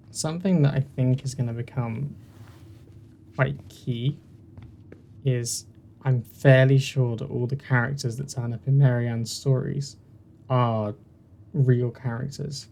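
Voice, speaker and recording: A man's voice: medium pitch, fairly even intonation, measured pace, creaky, long pauses, dark tone. A man with a southern English accent giving chatty, self-deprecating let's play commentary. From southern England. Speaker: host of a gaming series. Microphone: poor-quality mic with uneven levels. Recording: poor mic with faint noise.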